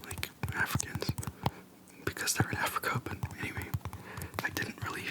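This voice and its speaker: An ASMR host speaking in a soft, breathy whisper close to the microphone, chatting casually in a relaxed, halting way. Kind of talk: casual rambling game retrospective.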